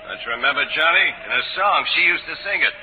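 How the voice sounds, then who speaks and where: A man's voice: fairly high pitch, animated, fast talker, slight background noise, close to an everyday voice. An actor playing an eager, deferential gangster's henchman in 1940s radio drama style. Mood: agreeable. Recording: thin narrow-band 1940s radio recording.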